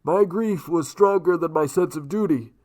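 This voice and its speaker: A man voicing a grim 1940s masked male vigilante in a radio drama, speaking in a measured, formal, grave way. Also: a little high, a little mellow, close to an everyday voice.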